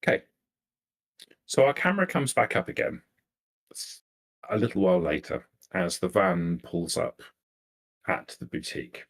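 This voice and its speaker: A man narrating a tabletop roleplaying game as game master, halting as he searches for words. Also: sits low, huge pitch swings, creaky, fairly bright tone.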